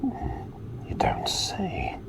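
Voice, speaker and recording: Man playing a slow, whispering, gentle plague doctor. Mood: soft, attentive. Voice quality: breathy whisper with low gravelly creak. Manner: soothing, unhurried, quaintly polite. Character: gentle, courteous, caring plague doctor, male. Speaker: ASMR performer. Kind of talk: whispered ASMR roleplay, personal attention. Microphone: close microphone.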